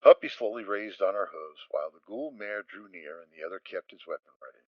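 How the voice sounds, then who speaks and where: Animated male storyteller, swooping pitch. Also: a little fast, dry recording, lo-fi sound. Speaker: narrator. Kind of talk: animated dramatic story narration.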